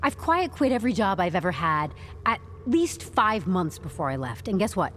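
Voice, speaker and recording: An actress playing a composed, stubborn HR newcomer in an audio drama, speaking with deadpan corporate politeness and wry candour. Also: female voice, mid-range voice, moderate pace, slight background noise. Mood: frank, weary. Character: composed, stubborn young HR newcomer turning interrogation into interview.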